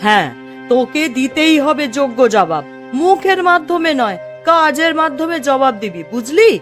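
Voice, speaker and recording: A woman's voice: high-pitched, sing-song, normal speed. A woman voicing a touchy, indignant village mother in a cartoon story, complaining emphatically. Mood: aggrieved. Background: music.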